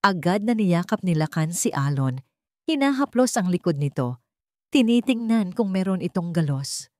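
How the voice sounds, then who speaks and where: Low-voiced woman narrating drama. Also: lively intonation, moderate pace, no room sound, clean studio sound. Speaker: storyteller.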